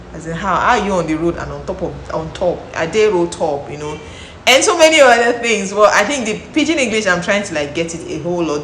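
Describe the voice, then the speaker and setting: A young woman from Nigeria vlogs at home in a low, full voice, her accent clear as she chats freely. She restarts phrases and repeats words as she talks. The room is echoey, with a faint hiss of noise behind her. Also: fairly bright tone. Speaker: vlogger. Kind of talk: casual talk to camera.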